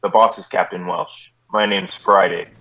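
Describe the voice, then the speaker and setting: An actor playing a terse, businesslike police detective sergeant in a deadpan, clipped 1950s radio style. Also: male voice, deep voice, moderate pace. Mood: matter-of-fact. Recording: dull vintage radio recording.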